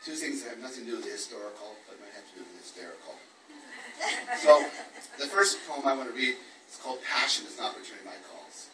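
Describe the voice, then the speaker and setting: A man's voice: medium pitch, expressive, fast, background noise. Man at a poetry event, distant and echoey. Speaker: poet reading own work at a book launch. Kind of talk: live poetry reading with informal introductions. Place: echoey room at a live event. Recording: roomy, distant event microphone.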